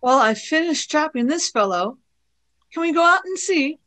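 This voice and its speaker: Woman playing a perky, high-voiced young girl. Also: lively intonation, moderate pace, a bit bright, no echo. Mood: eager. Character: perky, teasing young girl, time-travelling companion. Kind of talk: radio play acting.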